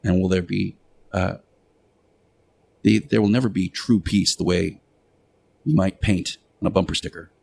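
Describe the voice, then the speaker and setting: An earnest religious teacher played by a man delivers a radio sermon in a fairly low voice. He is preachy and admonishing, leaning on key words with deliberate pauses and repetition. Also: fairly even intonation, creaky, darkish tone, slightly rough, heavily acted. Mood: measured, earnest. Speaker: radio show host. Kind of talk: radio monologue, moral sermon.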